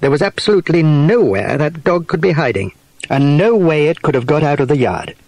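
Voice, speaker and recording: A man's voice: a little high, sing-song, brisk, darkish tone, poor audio. A man narrating a children's story from a script.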